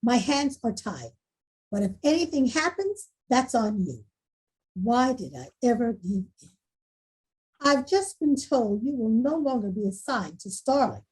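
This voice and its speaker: A woman playing an exasperated, defensive school principal in a table read, questioning forcefully. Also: a little high, very animated, a little slow, breathy edge, exaggerated voice, poor audio. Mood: resigned, uneasy. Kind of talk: screenplay table read acting.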